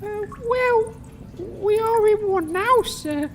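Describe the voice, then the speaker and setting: A loyal, simple-minded little squire for a comedy sketch. The voice is extremely high and slow, halting and meekly deferential, recorded with clear noise behind it. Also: male voice, animated, full character voice. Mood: puzzled, timid. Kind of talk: comedy sketch character acting.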